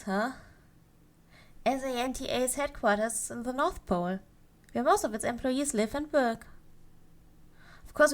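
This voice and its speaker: A woman playing a cheerful, possessive yandere elf in an ASMR roleplay, speaking to the listener in a coy, playful way with drawn-out phrases. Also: a little high, natural voice.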